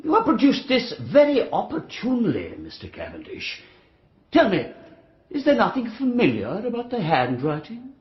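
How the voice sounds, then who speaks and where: Radio drama prosecutor: English, relentless, pompous, very animated intonation, echoing and slightly dull sound. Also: male voice, high voice. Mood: sardonic. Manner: pompous, incredulous, deliberate courtroom delivery. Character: sneering, relentless male prosecuting barrister.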